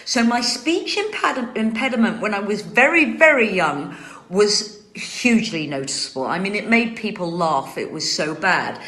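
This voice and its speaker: A woman's voice: medium pitch, expressive, a little slow, a bit bright, reverberant. A woman hosts a casual chat from home, answering viewer questions. She speaks with carefully trained, precise articulation. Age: 60s. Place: home room.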